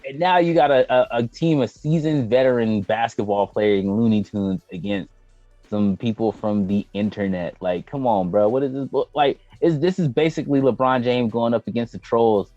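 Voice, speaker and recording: Animated slangy man, dry sound over music. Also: mid-range voice, moderate pace, no room sound, muffled recording. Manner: animated, slangy, exclamatory, acting out reactions. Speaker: podcast co-host. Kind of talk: casual banter about movies and sports.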